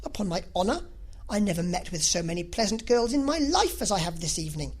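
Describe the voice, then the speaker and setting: A woman voicing a cheerful, sociable young gentleman in a novel reading, speaking in a friendly, enthusiastic, urging way. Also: mid-range voice, animated, quick pace, husky. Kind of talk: classic novel audiobook character reading.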